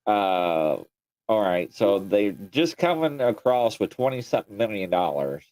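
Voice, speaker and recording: A man's voice: lowish voice, very animated, measured pace, a little mellow, no echo. A man co-hosting a casual chat show, joking and teasing his co-host.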